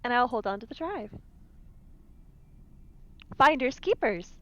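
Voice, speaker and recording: A woman's voice: on the high side, expressive, takes it slowly. A woman playing in a tabletop role-playing game, talking casually on a lo-fi mic with background noise.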